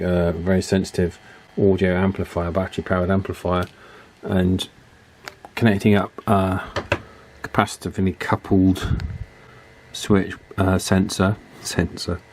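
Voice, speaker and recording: Guy at his workbench fixing a radio, lowish voice, lots of ums and restarts, just chatting to the camera. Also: darkish tone, a little hiss or hum, rough recording. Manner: thinks aloud with fillers and restarts. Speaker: radio repair hobbyist. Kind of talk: casual workbench tutorial.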